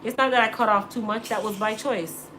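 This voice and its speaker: A woman's voice: mid-range voice, fairly even intonation, fairly quick, pushed and bright, slight background noise. A middle-aged American woman, a hairstylist, chatting casually with live-stream viewers, joking and teasing as she answers. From United States. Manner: joking, teasing, laughs often.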